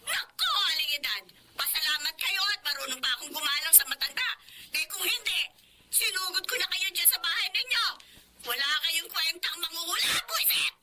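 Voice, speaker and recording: An actress playing a furious, loud woman client, scolding and wailing shrilly in a radio drama. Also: very high-pitched, expressive, fairly quick, far from the mic, full character voice. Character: furious, loud female client cheated by fortune-teller. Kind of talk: Tagalog radio drama acting.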